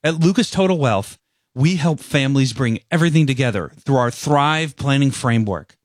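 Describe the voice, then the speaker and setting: A man reading a scripted radio advertisement in a measured, persuasive way. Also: mid-range voice, lively intonation, no echo, studio quality. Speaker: ad spokesman.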